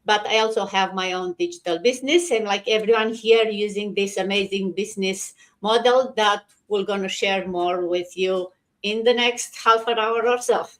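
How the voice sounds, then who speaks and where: A middle-aged woman with a Romanian accent, hosting a live online show in a halting, conversational way. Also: medium pitch, fairly even intonation, a bit bright. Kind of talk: live casual presenting.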